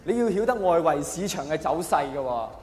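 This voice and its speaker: A man's voice: fairly high pitch, expressive, a little fast, darkish tone. A man with a Hong Kong Cantonese accent performing live stand-up, theatrical and full of rhetorical questions for the audience. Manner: theatrical, acts out quoted characters. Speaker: stand-up comedian. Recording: echoey hall, long reverb, faint noise.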